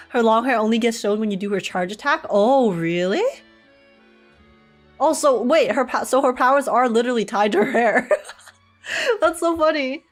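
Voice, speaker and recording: A young woman gives casual livestream commentary on a game. Her voice sits a bit high and her manner is giggly and slangy, as if talking with friends. Faint music runs behind her. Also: expressive, unhurried, pauses often. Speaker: live streamer.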